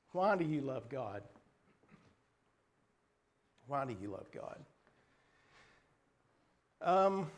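A middle-aged man preaching a sermon in a small church, slightly hoarse, earnest and halting, clearing his throat often. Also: fairly high pitch. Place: small wood-paneled church, indoors. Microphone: pulpit microphone at a distance.

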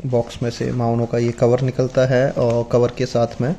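Soft-spoken man demonstrating gear. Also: mid-range voice, monotone, moderate pace, slight background noise. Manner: explains step by step while handling gear. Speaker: motovlogger reviewing gear. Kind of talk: casual unboxing demo. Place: indoors at a table, off camera.

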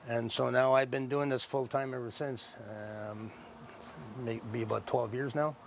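A middle-aged man explaining his craft informally, in a plain-spoken, halting, reflective way, as a traditional builder. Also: mid-range voice, steady tone, gravelly, a little hiss or hum. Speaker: traditional canoe builder and knowledge keeper. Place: outdoors under a park tent.

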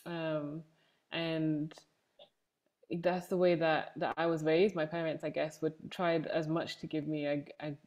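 Low-voiced young Englishwoman. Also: flat delivery, a little slow, darkish tone. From Manchester, England. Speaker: interview guest, panelist. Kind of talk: conversational personal testimony. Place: room at home, indoors, on a video call. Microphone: wired earphones on a video call.

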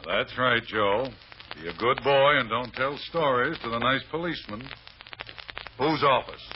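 A man plays a bullying police sergeant in an old-time radio drama. He is sarcastic and taunting, with a mid-pitched voice. The recording is dull and faintly noisy. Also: unhurried, natural voice.

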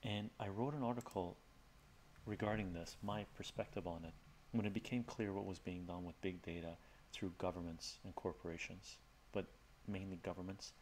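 A middle-aged man, Armenian by birth, chats in a relaxed, rambling way with fillers, as a livestream host in his home study. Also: low voice, normal speed, slight background noise, rough recording. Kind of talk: casual soft-spoken chat with viewers.

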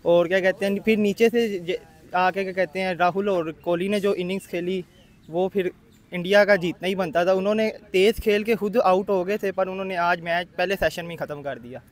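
A young cricketer from Pakistan answering questions outdoors at a ground, leaning on the same filler phrase again and again. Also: male voice, high voice, fairly even intonation, a little fast. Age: young adult. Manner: repeats the filler phrase often. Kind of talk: casual interview answers. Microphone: handheld mic.

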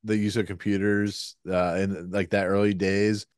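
A man's voice: lowish voice, little pitch movement, measured pace, dry recording, clean studio sound. A man chatting on a podcast, joking and hesitant with lots of fillers, swearing casually.

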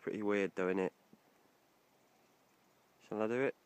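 A man's voice: fairly low pitch, unhurried, smooth. A young man talking casually to a handheld phone while wild camping outdoors, chatty and swearing now and then. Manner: chatty, swearing, laughs easily. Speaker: vlogger, wild camper. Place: outdoors on a coastal hillside.

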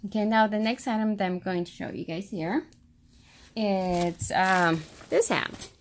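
A woman's voice: mid-range voice, unhurried, slight background noise. A woman casually showing items for a vlog, talking in a hesitant, rambling way in a carpeted room at home. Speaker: shopping haul vlogger. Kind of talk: casual narrated show-and-tell.

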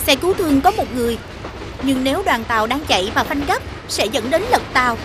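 A gentle female teacher in an animated children's show. Her voice is high, with a Southern Vietnamese accent, and she explains patiently over clearly audible music. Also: quick pace. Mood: patient explaining. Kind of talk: animated children's cartoon dubbing. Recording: music behind the voice.

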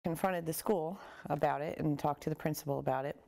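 A woman's voice: sits low, steady tone, fairly quick, vocal fry, warm tone. A woman in her thirties giving an account in an interview as a parent. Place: living room, indoors.

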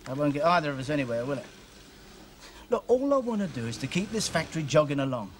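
An actor playing a brusque middle-aged factory boss, blunt and sarcastic. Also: male voice, on the high side, big pitch swings, quick pace, slight background noise. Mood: stern. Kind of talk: 1980s TV soap acting. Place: factory floor TV set.

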